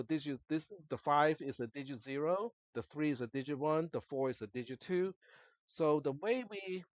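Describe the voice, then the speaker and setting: A male college instructor whose native language is Cantonese explains material to his class. His voice sits a little high for a man, and he often tacks on short questions to check understanding. The sound is dry and slightly dull. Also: no room sound. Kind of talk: explanatory classroom lecture to students. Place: classroom, screen-recorded lecture.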